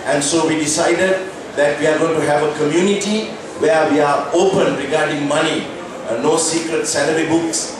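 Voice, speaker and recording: A man's voice: fairly high pitch, fairly even intonation, moderate pace. A man in his fifties from Sri Lanka, speaking thoughtfully with hesitant restarts at a press briefing. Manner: thoughtful, hesitant, repeating starts. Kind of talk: reflective talk with light laughs. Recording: echoey room, mic at a distance, clear background noise.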